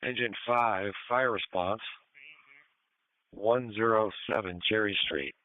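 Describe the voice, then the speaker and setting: Slow, low male fire dispatcher on radio. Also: clean tone, dry recording. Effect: emergency scanner radio. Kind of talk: terse radio dispatch announcement. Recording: tinny narrowband scanner radio.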